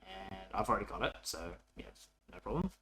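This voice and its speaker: A man's voice: deep voice, lively intonation, normal speed, low-quality recording. A man narrating a casual coding tutorial as an instructor, informal and thinking aloud as he works.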